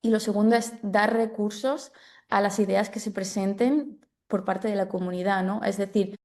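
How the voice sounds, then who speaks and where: A woman's voice: medium pitch, steady tone, normal speed, a little mellow. A woman answering as an interview guest in a conversational, explanatory and reflective way, often checking for agreement. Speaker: sports development officer, interview guest.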